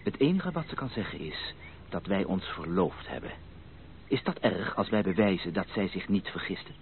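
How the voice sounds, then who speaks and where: A narrow, faintly noisy radio drama recording carries an ardent gentleman in love. His low voice moves widely in pitch, emphatic and resolute in its romantic pleading. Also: big pitch swings, moderate pace. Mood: persuasive. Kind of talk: Dutch radio play acting.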